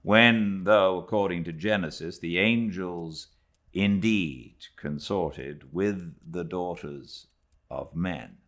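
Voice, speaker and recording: A British man narrating classic literature like an audiobook, with measured, dramatic phrasing and deliberate pauses. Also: low voice, a bit bright. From Britain.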